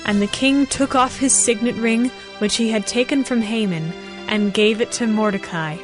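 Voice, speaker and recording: A woman giving a formal, measured, scripted narration of a dramatized scripture story. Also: a little high. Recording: music behind the voice.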